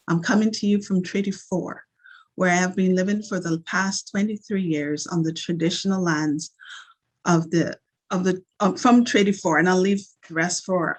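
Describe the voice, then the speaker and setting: A woman who grew up in Barbados, speaking in an earnest, measured way as she opens a video call with a prayer and introduces herself. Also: medium pitch, breathy edge. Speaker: event coordinator.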